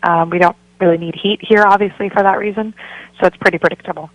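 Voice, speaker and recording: A woman's voice: mid-range voice, steady tone, fast, dry recording, poor audio. A woman working as a real estate broker, answering interview questions in a friendly, informative way as a guest. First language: bilingual Spanish and English. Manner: friendly, informative, laughs easily. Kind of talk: conversational radio interview answers.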